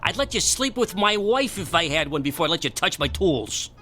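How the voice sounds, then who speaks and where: An actor playing a gruff, wisecracking repairman in his late thirties in a radio drama, brusque and cocky. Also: sits high, big pitch swings, a little fast, sharp tone, slight background noise, exaggerated voice. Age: 30s.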